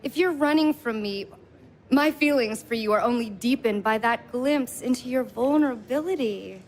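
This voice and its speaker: An actress plays an anxious woman in an audio stage play, clinging to a man who rejects her. Her voice is high, and she presses on with earnest, apologetic insistence. There is clear background noise from the live recording. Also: moderate pace, natural voice. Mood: earnest, insistent. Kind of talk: stage comedy-drama performed for audio.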